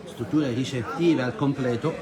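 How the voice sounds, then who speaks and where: A man's voice: medium pitch, a little slow. A man giving enthusiastic live walking-tour commentary, addressing viewers as friends. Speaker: host of a live local travel broadcast. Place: outdoors in village streets and squares. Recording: busy outdoor street, crowd and loud music.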